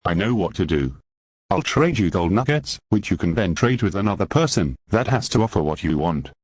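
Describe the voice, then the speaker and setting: A man voicing a confident, helpful trader in an explainer cartoon, with a husky voice. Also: sits low, big pitch swings, brisk, a little mellow, no room sound, full character voice.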